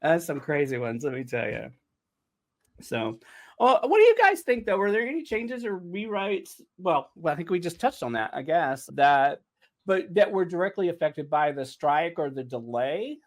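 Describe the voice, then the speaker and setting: Higher-pitched man chatting casually, joking and rambling. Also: sing-song, normal speed. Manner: jokey, casually profane, rambling. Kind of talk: casual behind-the-scenes podcast chat.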